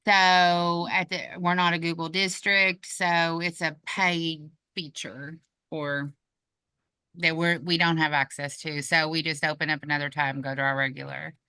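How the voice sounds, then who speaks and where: Low, crisp woman teacher on a call. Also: little pitch movement, measured pace, sharp tone, no room sound. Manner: hesitant, restarts her sentences. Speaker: teacher in an online meeting. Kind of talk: casual online meeting chat.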